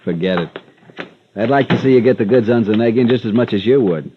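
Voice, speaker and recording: An actor playing a helpful, matter-of-fact harbor official in a 1950s radio drama, talking in terse, plain phrases. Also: male voice, fairly low pitch, a little fast, close to an everyday voice. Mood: obliging. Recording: muffled, slightly noisy vintage radio.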